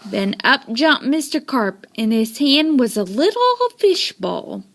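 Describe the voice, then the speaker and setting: A woman reads a children's story aloud with high pitch and a slow, unhurried pace. Her delivery is expressive and dramatic, with intonation that swings widely. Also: vocal fry. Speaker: storybook reader.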